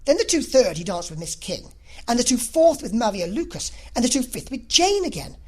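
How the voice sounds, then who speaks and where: A woman voicing an excitable, gushing middle-aged mother in a novel reading, rambling on breathlessly and effusively. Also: a little high, a little fast, husky, voice pushed up. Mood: excited, rambling. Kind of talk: classic novel audiobook character reading.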